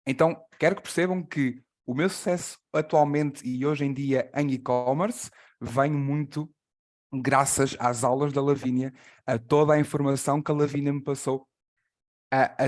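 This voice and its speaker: A man's voice: on the high side, fairly even intonation, brisk, darkish tone. A man with a Portuguese accent, a native Portuguese speaker, hosting an online class and introducing a guest. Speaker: host and translator of an online class. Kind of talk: introducing a guest on a live call.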